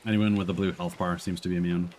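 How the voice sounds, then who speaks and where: A man's voice: low voice, little pitch movement, fast talker, a little mellow, slight background noise. A man streaming a video game with casual, informal, slangy commentary on a close mic. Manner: chuckles through remarks, informal slang. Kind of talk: casual live gameplay commentary.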